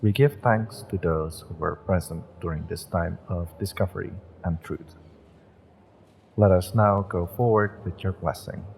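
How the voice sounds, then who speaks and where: Man playing a low, unhurried, gentle witch fortune teller. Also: vocal fry, slight background noise, poor audio. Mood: solemn, calming. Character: kind, wistful male witch tarot reader and shopkeeper. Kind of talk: roleplay audio addressed to the listener.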